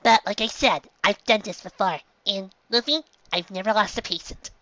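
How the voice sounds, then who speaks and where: A woman's voice: medium pitch, normal speed, pushed and bright, muffled recording, a little hiss or hum, voice pushed up. A woman voicing a small, caring but firm reindeer doctor in a story reading, speaking earnestly and emphatically. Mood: reassuring.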